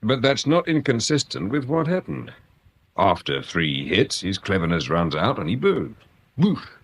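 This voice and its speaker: Courteous English inspector for radio crime drama: very low register, lively intonation, understated scepticism. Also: male voice, gravelly, breathy edge, exaggerated voice. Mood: musing, sceptical. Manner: polite, understated, deliberate. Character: dry, courteous, quietly sceptical police inspector.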